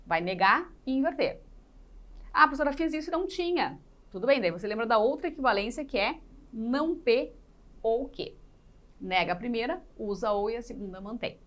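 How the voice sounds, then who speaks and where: Casting: female teacher, fairly high voice, didactic explainer who repeats points and asks questions. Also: a bit bright. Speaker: teacher in an exam-prep class. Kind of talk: live lesson explaining exercises step by step.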